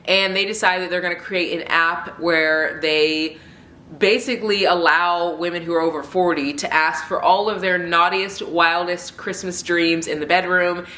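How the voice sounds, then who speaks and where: Rambling, chatty woman with a low voice, bright and congested, home vlog recording with an echo. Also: a little hiss or hum. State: congested, stuffy nose. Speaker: book vlogger. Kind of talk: casual book review chat. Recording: roomy echo.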